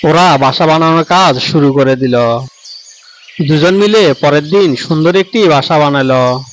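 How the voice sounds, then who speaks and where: A man's voice: on the high side, unhurried, slight background noise, rough recording. A man narrating a scripted children's story as the storyteller.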